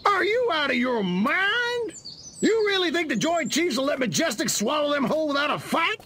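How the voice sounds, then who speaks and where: High, strained, blustering general. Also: male voice, big pitch swings, moderate pace, sharp tone, a little hiss or hum, full character voice. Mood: outraged. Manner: incredulous, explosive bluster. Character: blustering, indignant army general. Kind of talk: video game cutscene acting.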